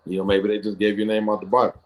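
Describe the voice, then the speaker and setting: Middle-aged Ohio-raised man, lowish voice, reflective storyteller, heard over a video call. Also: monotone, fast. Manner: reflective, with frequent fillers and restarts. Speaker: guest football coach. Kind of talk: personal storytelling in an interview. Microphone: computer or headset microphone on a video call.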